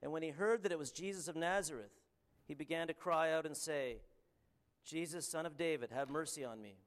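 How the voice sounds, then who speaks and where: A man with a Canadian accent preaching a sermon and reading scripture, earnest and halting, with frequent ums and restarts. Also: fairly high pitch.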